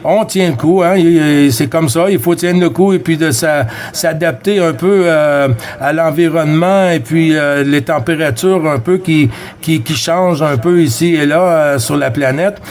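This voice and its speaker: A man with a Quebec French accent chatting casually as a CB radio operator, friendly, with halting phrasing and repeated words. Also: fairly high pitch, a little mellow. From Quebec, Canada. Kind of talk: casual radio chat with callsigns.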